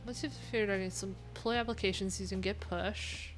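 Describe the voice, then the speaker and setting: A woman's voice: medium pitch, steady tone, brisk, faint music behind, low-quality recording. A woman live streaming, chatting informally and thinking aloud in a rambling, unfiltered way. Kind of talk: casual live tech stream commentary.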